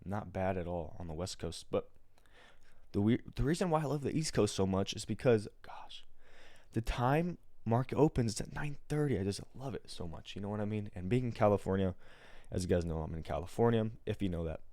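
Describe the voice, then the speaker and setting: An American man with a raspy morning voice, explaining things step by step in an informal, slangy livestream tutorial style. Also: lowish voice, expressive, a little fast, mellow, no room sound, studio quality. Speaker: day trader and livestream host. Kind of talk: casual live trading tutorial.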